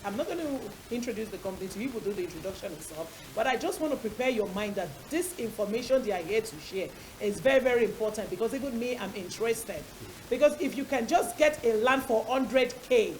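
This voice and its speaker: A woman with a Nigerian accent hosting a talk show in a chatty, emphatic way, repeating phrases for stress. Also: mid-range voice. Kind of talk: chatty studio presenting. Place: TV studio set. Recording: hissy, clearly noisy audio.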